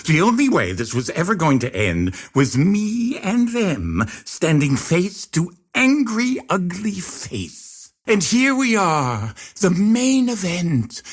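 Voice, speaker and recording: An actor playing a pompous, sinister circus ringmaster in a video game, speaking in florid showman's phrases with drawn-out dramatic emphasis. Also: on the high side, husky, heavily acted. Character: theatrical male circus ringmaster villain, grandiose and sinister. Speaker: video game villain and overseer. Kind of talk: theatrical scripted game voice lines.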